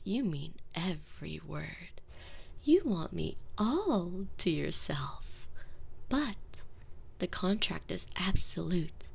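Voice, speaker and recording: A woman voicing a seductive, commanding vampire mistress in an intimate audio roleplay, teasing and manipulative, often dropping to soft whispers. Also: lowish voice, creaky, full character voice. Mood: intrigued. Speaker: voice actress in a one-sided audio roleplay. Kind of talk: intimate binaural roleplay, addressing the listener.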